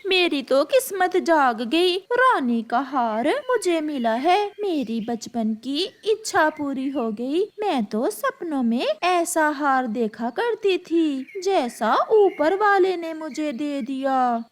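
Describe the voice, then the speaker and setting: A woman's voice: high-pitched, big pitch swings, slow, hardly any pauses, full character voice. A woman voicing a greedy, sly and insistent female crow in a children's moral-story cartoon. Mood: gleeful.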